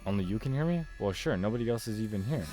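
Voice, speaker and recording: A man's voice: fairly low pitch, animated, fast talker, mellow, faint music behind, close to an everyday voice. A man voicing a small, curious boy who is timid yet eager to help, in a visual novel read-aloud. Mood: curious, uneasy.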